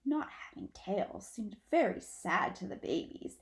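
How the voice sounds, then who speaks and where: A woman narrating a children's storybook aloud in an expressive style, like a teacher reading to pupils. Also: medium pitch, unhurried.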